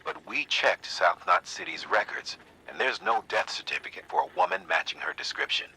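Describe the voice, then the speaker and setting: An actor playing a seasoned, supportive mission commander in a video game, briefing in a plain-spoken, folksy way. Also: male voice, low-pitched, expressive, moderate pace, sharp tone, slightly rough, heavily acted, rough recording. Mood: thoughtful.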